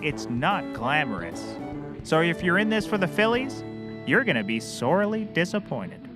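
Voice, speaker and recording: Man acting as excitable high-pitched goofy private eye. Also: normal speed, over music. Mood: self-important instructing. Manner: eager, self-important, theatrical delivery. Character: hapless, overconfident, goofily enthusiastic male private detective. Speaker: actor in a scripted comedy series. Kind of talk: scripted comedy acting.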